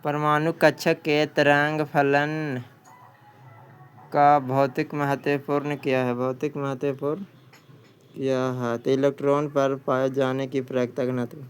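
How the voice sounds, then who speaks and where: A man's voice: mid-range voice, flat delivery, a little slow, smooth, faint music behind. A man teaching an exam practice lesson, reading out questions and answers and often repeating a question before answering.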